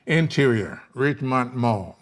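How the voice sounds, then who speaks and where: A man's voice: medium pitch, lively intonation, measured pace. A man reads screenplay stage directions aloud as narrator. His voice sits in a middle register, delivering scripted scene descriptions.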